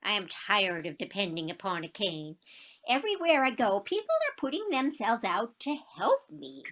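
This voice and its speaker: Woman as a slow, high-voiced feisty old lady. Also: a bit bright, heavily acted. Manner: deliberate, indignant, theatrical. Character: frail, feisty old lady with a cane, stubbornly proud. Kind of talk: stage comedy acting, one-act play.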